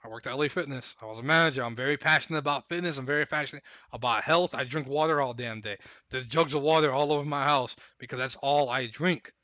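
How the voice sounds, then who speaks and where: A man hosting a live stream, chatting casually and recapping fights in a rambling, hyped way while his voice goes hoarse. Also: medium pitch, fast talker, sharp tone, no echo, highs cut off. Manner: rambling, hyped, repeats himself. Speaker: stream host.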